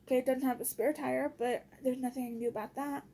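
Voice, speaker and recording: A woman with a notably high voice and a soft, mellow tone talks as if venting to her followers. She is exasperated and blunt, and keeps it casual and full of slang. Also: fairly even intonation, quick pace. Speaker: vlogger.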